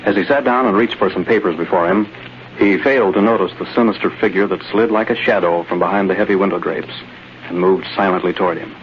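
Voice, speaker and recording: A man's voice: low voice, fast talker. A man narrating a radio adventure serial in a dramatic, scripted mid-century style. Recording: muffled, clearly noisy vintage radio broadcast.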